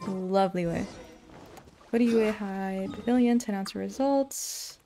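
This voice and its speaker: A young woman streaming a game and commenting casually as she plays. Her voice is medium in pitch, with faint game music underneath. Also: measured pace, warm tone. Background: video game music.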